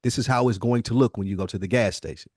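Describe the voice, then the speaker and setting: A man talking as a podcast host in casual, slangy, profane and animated hip hop conversation. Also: on the low side, fast, dry recording, clean studio sound.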